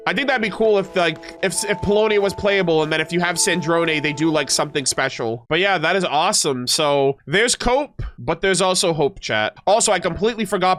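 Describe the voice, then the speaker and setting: A man's voice: a little high, fairly bright tone. A man streaming a video game, reading lore aloud and reacting informally to his chat. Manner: informal, mild swearing, addresses chat.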